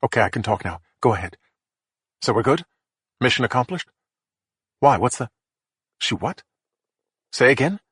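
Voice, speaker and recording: A man's voice: medium pitch, brisk, a bit bright, husky, no echo, pitched above the performer's own voice. A man doing a snarling, panicky crook. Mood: panicked. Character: angry stressed middle-aged man, hissing threats. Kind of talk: audiobook character voice.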